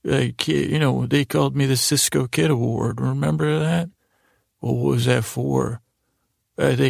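A man hosting a sleep podcast with a rambling, halting bedtime monologue in a gravelly, creaky voice, often trailing off mid-sentence. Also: medium pitch, a little mellow, no echo, clean studio sound. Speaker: podcast host.

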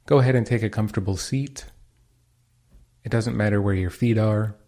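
A man leading a guided meditation as its teacher, giving calm, unhurried instructions. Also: on the low side.

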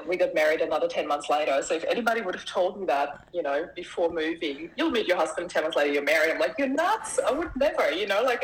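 A middle-aged woman whose Austrian accent carries a tinge of Australian, speaking in a notably low voice. She tells her story as a guest in an easy, relaxed way and laughs readily. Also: poor audio. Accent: Austrian with some Australian. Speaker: podcast guest. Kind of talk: casual interview storytelling.

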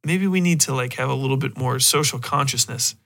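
Man, forceful bright voice, casual podcast host, rambling, animated, profane. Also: medium pitch, brisk.

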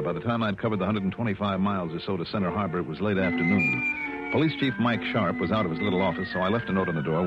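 An actor playing a brisk, impatient American insurance investigator in a mid-century radio drama. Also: male voice, low voice, natural voice. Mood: dry narrating. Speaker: lead actor and narrator. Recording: muffled old radio broadcast, loud background babble.

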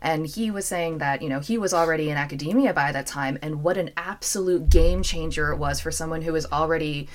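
A woman in her thirties hosting a podcast and chatting casually, talking in quick bursts. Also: low voice. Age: 30s. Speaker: podcast host. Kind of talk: casual interview chat.

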